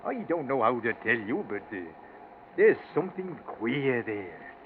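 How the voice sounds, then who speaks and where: Anxious old Yorkshire gardener, swooping voice. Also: male voice, mid-range voice, sing-song, unhurried. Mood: uneasy. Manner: hesitant, stammering, deferential. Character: anxious, deferential old male English gardener. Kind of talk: 1940s radio acting. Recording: noisy, muffled 1940s radio recording.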